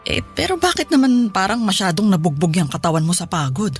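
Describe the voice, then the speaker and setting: A woman's voice: medium pitch, sing-song, fast talker, husky, faint music behind. A woman playing a worried, caring middle-aged mother in a radio drama, speaking with a Filipino accent. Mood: concerned. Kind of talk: Tagalog radio drama acting.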